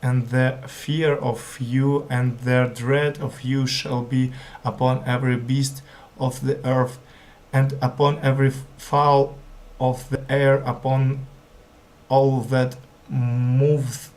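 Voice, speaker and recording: A man's voice: medium pitch, flat delivery, takes it slowly, darkish tone. A man who is not a native English speaker reads scripture aloud as a learner, hesitating, stumbling and repeating words. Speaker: language learner. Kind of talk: halting read-aloud of scripture.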